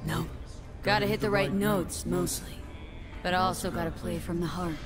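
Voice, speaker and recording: A blunt, worldly street musician played by a woman in a video game. Her voice sits on the low side and she talks in clipped, matter-of-fact phrases, with music clearly behind her. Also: steady tone, normal speed, natural voice. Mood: frank, reassuring. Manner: terse, matter-of-fact, dropped words. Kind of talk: naturalistic video game acting.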